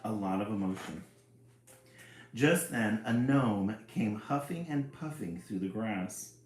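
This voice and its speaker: Guy reading a picture book out loud, lowish voice, casual asides, roomy echo. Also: slow. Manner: chuckling, expressive. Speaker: read-aloud host. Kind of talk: casual storybook read-aloud with asides. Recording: roomy echo, some background noise.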